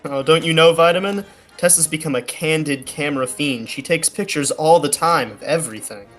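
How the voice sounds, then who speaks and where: A man's voice: on the high side, fairly quick, fairly bright tone, faint music behind, lightly acted. A man playing an easygoing detective hero in a 1940s-style radio play.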